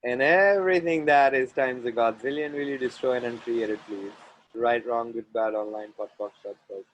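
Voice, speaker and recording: A man from India with an Indian accent, facilitating an online group call from outdoors near the ocean. He speaks in deliberate, chant-like repetitions, guiding listeners through clearing phrases. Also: medium pitch, muffled recording.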